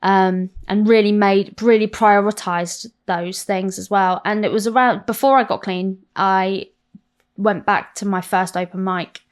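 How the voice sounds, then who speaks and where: Woman, thirties, English accent, podcast guest, candid, animated, self-mocking, swears, mid pitch. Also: measured pace, clean tone. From South London. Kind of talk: candid interview chat.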